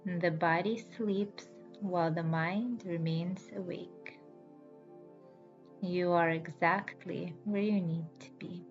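A woman leads a guided relaxation in a soothing, unhurried voice at a middling pitch. She gives each instruction slowly and leaves deliberate pauses. The sound is a little dull, with music playing behind her. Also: fairly even intonation. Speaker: yoga nidra guide and podcast host. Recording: slightly dull audio with background music.

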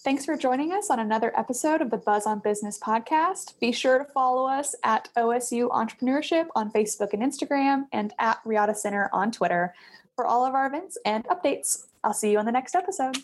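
A woman's voice: on the high side, animated, a little fast. A woman hosting a business podcast, bubbly and laughing often between questions. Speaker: podcast host. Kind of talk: podcast interview.

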